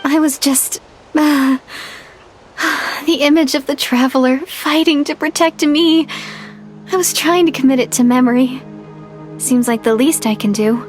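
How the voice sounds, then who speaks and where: A woman's voice: high voice, a little slow, soft background music. A woman playing an earnest, idealistic young village woman setting out as an adventurer in an anime video game. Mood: flustered. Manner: earnest, sincere.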